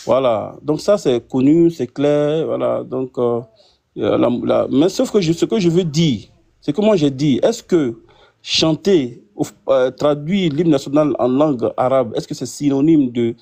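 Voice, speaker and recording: A mellow-voiced man with a West African French accent speaks as an imam in an unscripted discussion. His pitch is average, and he explains haltingly, circling back over his points. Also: gravelly. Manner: halting, circling explanations with frequent tag words. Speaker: imam taking part in a debate. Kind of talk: impromptu debate.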